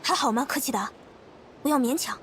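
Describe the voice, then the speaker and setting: A woman's voice: high-pitched, brisk, a bit bright, slight background noise. A woman voicing a small, confident, caring companion in an anime-style video game, chirpy and encouraging. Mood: concerned. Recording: music behind the voice.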